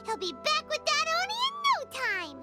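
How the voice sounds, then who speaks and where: Squeaky chirpy fairy sidekick. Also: female voice, wildly swooping pitch, moderate pace, full character voice. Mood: eager. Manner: bubbly, talks of herself in third person. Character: tiny floating female companion sprite, chirpy. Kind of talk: video game voice acting. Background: game music.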